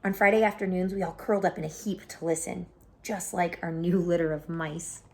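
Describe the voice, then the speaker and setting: A woman reading a children's picture book aloud as an expressive storyteller. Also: fairly low pitch, fairly quick.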